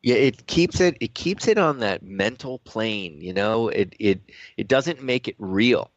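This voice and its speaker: A man's voice: on the low side, animated, measured pace, no room sound. Male talk radio co-host, mid-range voice, reflective and conversational, searching for words, dry slightly lo-fi recording.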